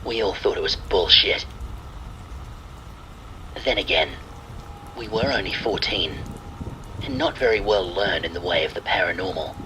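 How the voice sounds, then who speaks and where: Bright, forceful low-voiced man narrating horror. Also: unhurried, pauses often, a little hiss or hum, low-quality recording. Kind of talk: scripted horror story narration in first person. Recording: faint steady rain behind the voice. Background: rain and thunder sound effects.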